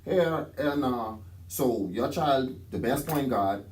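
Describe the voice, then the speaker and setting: A man's voice: mid-range voice, animated, slow pace, a little mellow. A Bahamian man, a taxi driver, talking on a podcast in an emphatic, preachy rant, often acting out what others say. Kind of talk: casual podcast chat.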